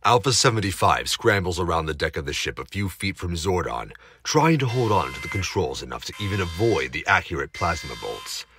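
A man's voice: deep voice, big pitch swings, fairly quick, few pauses, noisy background. A man narrating a scripted audio drama in a dramatic, suspenseful storytelling manner.

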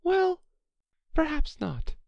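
A woman's voice: on the high side, lively intonation, slow pace, no echo, muffled recording, pitched above the performer's own voice. A woman voicing a polite, chatty little girl in a children's storybook, earnest and apologetic as she rambles on. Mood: soothing, chatty.

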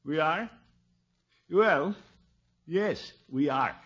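An actor playing a pompous, gullible middle-aged small-town official in a 1950s radio comedy, blustering and exclaiming. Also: male voice, a little high, animated, extremely slow, a bit bright, husky, exaggerated voice. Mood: pleased, self-satisfied. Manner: blustering, exclamatory, self-satisfied. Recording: dull, noisy old radio recording.

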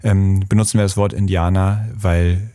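Lower-voiced German host, measured questioning. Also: male voice, flat delivery, mellow, no room sound, clean studio sound. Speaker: podcast host. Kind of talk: interview conversation.

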